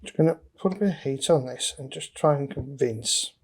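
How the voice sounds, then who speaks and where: A man's voice: medium pitch, normal speed, husky. Matter-of-fact man talking through hands-on work. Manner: matter-of-fact, talking through each step while working. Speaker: DIY electronics presenter. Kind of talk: casual hands-on tutorial commentary. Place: indoor electronics workbench.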